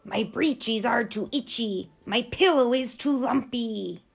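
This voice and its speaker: A woman's voice: fairly high pitch, slow pace, vocal fry, slightly rough, faint music behind, pitched above the performer's own voice, full character voice. A woman voicing a grumpy male pirate who whines and grumbles in a children's storybook. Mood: grumpy, whining. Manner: whiny, grumbling pirate talk.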